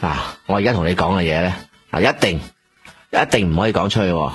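A wheedling go-between. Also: male voice, low-pitched, fast talker, fairly bright tone, faint music behind. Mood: coaxing. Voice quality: wheedling, persuasive. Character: smooth-talking middleman running errands for a boss. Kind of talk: Hong Kong radio drama acting.

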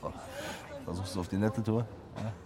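A man acting as a defiant troublemaker with a low voice, taunting and mocking. It is naturalistic radio drama, set outdoors with clear background voices. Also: steady tone, normal speed, crowd noise, lightly acted. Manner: taunting, provocative.

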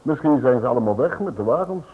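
Curt, unbothered porter played by a male actor, medium-pitched, radio drama, tinny narrow-band sound. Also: animated, fast talker, close to an everyday voice. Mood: indifferent. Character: unhelpful, indifferent office-building night porter. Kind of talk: Dutch radio play acting.

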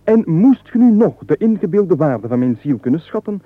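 Wily broke squire, compulsive babbler, highish voice, mock-deferential, florid, comic radio play, narrow phone-like band. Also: male voice, sing-song, normal speed, no room sound. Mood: glib, rambling. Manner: flowery, wordy, mock-deferential. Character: penniless wily young squire, an unstoppable babbler. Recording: muffled narrow-band 1980s radio recording.

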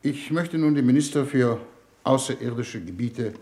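A man playing a grave, authoritative foreign minister, speaking formally and with measured oratory in a 1950s radio drama. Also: medium pitch, darkish tone, close to an everyday voice. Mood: grave, solemn.

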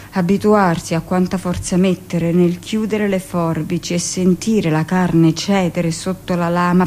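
An actress playing a hardened middle-aged hospital midwife, speaking a dramatic monologue in a deliberate, confiding, matter-of-fact way. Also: female voice, fairly low pitch, warm tone, natural voice. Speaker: actress performing a radio monologue. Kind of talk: dramatic first-person theatrical monologue.

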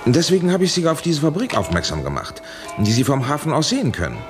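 An actor playing an anxious, authoritative professor in a 1970s German radio drama, urging and imploring in formal speech. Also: male voice, fairly high pitch, wildly swooping pitch, fast, music in the background. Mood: evasive explaining. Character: anxious authoritative male professor pleading urgently by radio link.

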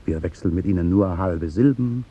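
An actor playing an earnest, deferential café waiter in a 1950s radio drama, low, dark and husky, speaking formally and with some hesitation. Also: male voice, moderate pace, dull audio. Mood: solemn, devoted.

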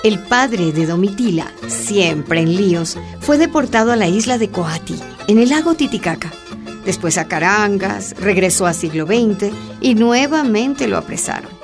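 A woman's voice: mid-range voice, expressive, unhurried, over music. A woman playing a courteous, inquisitive journalist who narrates a radio drama in a polite storytelling manner. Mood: narrating.

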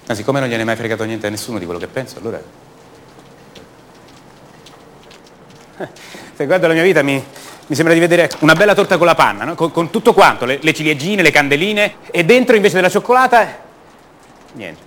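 Restless privileged young man, stumbling repetitions, very animated intonation, theatrical radio monologue, noisy background. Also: on the high side. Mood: defensive, bitter. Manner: hesitant, halting, repeats words, rhetorical tags.

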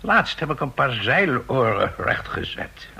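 A man playing a chatty, self-assured village doctor in a Dutch radio drama, gravelly and husky, with brisk bedside patter. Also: mid-range voice, big pitch swings, bright, forceful tone, exaggerated voice. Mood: chatty, jovial. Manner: brisk, jovial, matter-of-fact bedside patter.

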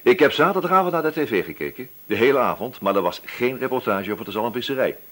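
A man's voice: medium pitch, animated, fast talker, natural voice. A man playing a wily, relentless police inspector in a radio drama, feigning casual chat and probing until he accuses. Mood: accusing, firm. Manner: probing, hesitant feints turning accusatory.

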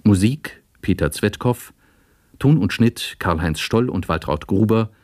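A man reading scripted broadcast credits as an announcer, formal and measured. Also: lowish voice, expressive, darkish tone, dry recording, studio quality.

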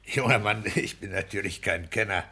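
An actor playing a pompous, self-satisfied petty-bourgeois husband and landlord in a declamatory 1960s German radio play style. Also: male voice, on the low side, normal speed, sharp tone, husky, heavily acted. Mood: solemnly pompous. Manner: pompous, declamatory, bullying joviality.

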